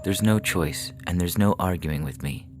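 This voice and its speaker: A man's voice: deep voice, moderate pace, natural voice. Man voicing a firm, tender spaceship mother. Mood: firm, reassuring. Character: devoted, firm mother on a spaceship, hiding dread. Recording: music behind the voice.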